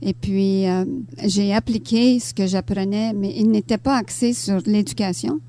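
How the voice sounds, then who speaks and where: Older woman, Canadian French accent, soft mellow tone, hesitant conversational interview guest. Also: mid-range voice, steady tone, measured pace. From Ontario, Canada. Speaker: interview guest, author and teacher. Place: radio studio.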